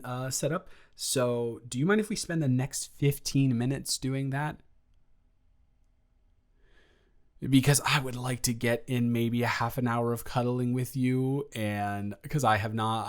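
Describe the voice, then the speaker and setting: A man's voice: medium pitch, close to an everyday voice. Guy playing a nervy young boyfriend type, stammers and rambles, eager and awkward, romantic roleplay audio. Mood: tender, shy.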